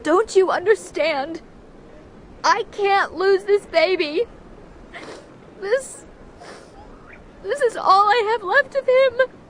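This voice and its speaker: A woman's voice: extremely high pitch, slow, long pauses, fairly bright tone, slight background noise, heavily acted, poor audio. A woman playing a stubborn, defensive pregnant widow in a video game drama. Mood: anguished.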